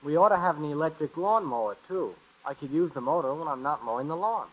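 A man's voice: on the high side, animated, fast talker, breathy edge. An American male actor playing a grumbling, wisecracking teenage son in a 1950s radio sitcom. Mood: grumbling, indignant. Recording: muffled, hissy old radio recording.

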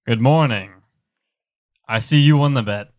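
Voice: medium pitch, a little slow, dull audio. A player in a tabletop roleplaying game, giving short casual replies in and out of character.

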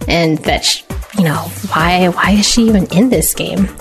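A woman's voice: on the low side, normal speed. Casual woman host over music. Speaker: host of a video game talk show. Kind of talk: casual news chat.

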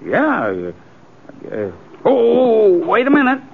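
An actor playing an excitable, gossipy townsman in a radio western, stammering in folksy American speech. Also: very high-pitched, slow, gravelly, full character voice. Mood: excited. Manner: stammering, folksy, repeats himself. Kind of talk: 1950s radio western acting. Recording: tinny, narrow 1950s radio recording.

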